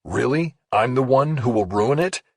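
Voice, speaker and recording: A man's voice: mid-range voice, lively intonation, normal speed, breathy edge, no room sound, studio quality, voice pushed up. A man voicing a suspicious investigative journalist in a thriller audiobook, blunt and questioning. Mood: accusing, heated.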